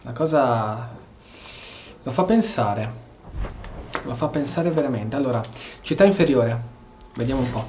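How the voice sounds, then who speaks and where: A man's voice: mid-range voice, animated, moderate pace, long pauses, a little mellow, slight background noise. A man giving casual gameplay commentary, musing and thinking aloud. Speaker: gameplay streamer. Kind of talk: casual Italian-style let's play commentary. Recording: game music behind the voice.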